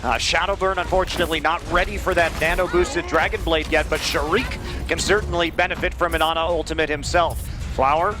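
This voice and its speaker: A man's voice: a little high, steady tone, fairly quick, over music. A man giving analytical esports commentary, explaining tactics and team resources. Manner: explains tactics and ultimate economy.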